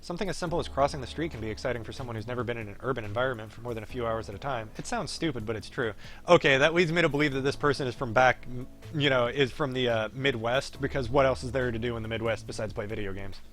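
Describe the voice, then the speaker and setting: A man streaming a game, reading its text aloud in an expressive, wry way with casual asides. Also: mid-range voice, quick pace, faint music behind. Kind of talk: live playthrough, narrating text with casual asides.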